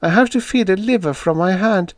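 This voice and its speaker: A man reading an audiobook puts on a frightened, lonely woman close to tears. Her voice leaps high and loud as she pleads and cries out. The sound is dry and close. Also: brisk, no room sound, lightly acted. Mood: tearful. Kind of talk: audiobook character voice.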